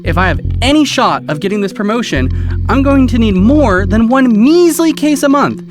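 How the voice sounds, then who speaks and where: A man's voice: very high-pitched, very animated, normal speed. A man playing an irritated, ambitious lawyer in an audio drama, scolding and defensive, with music behind him. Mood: angry, threatening.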